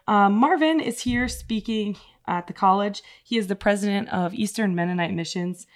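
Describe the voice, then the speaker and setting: A woman's voice: medium pitch, moderate pace. A woman hosting a podcast interview, asking questions and affirming her guests as they speak. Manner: friendly, affirming listener. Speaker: podcast host.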